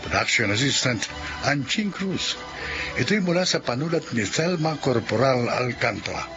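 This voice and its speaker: A man announcing a radio drama program in a measured, declamatory way. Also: mid-range voice, very animated, dull audio, over music.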